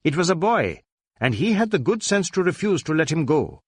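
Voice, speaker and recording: Guy acting a bossy police superintendent in a crime story, sharp and scornful, pitched up, pompous. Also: very animated, normal speed, breathy edge, dry recording, clean studio sound. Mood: dry, brisk. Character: brusque, scornful, domineering middle-aged Indian police superintendent.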